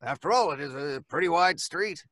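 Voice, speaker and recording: An actor playing a reluctant, fretful working-class building superintendent, hesitant, folksy and defensive, in old-time radio suspense style. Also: male voice, fairly high pitch, expressive, normal speed, bright, forceful tone, dry recording, studio quality. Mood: placating.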